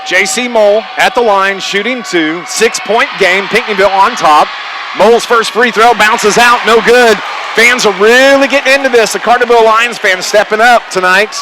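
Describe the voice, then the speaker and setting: A male play-by-play announcer with a distinctly high voice calls a live basketball game for radio. He talks in short, running phrases and often raises his voice. Crowd noise and gym clatter are plainly audible behind him. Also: normal speed. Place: packed high school gymnasium. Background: crowd, bouncing basketballs.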